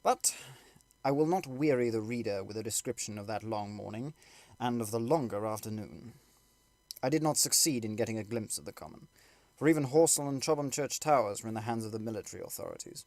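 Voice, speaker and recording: A man's voice: on the low side, a little fast, darkish tone, dry recording. Male audiobook narrator, very dry close sound. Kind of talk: scripted literary narration.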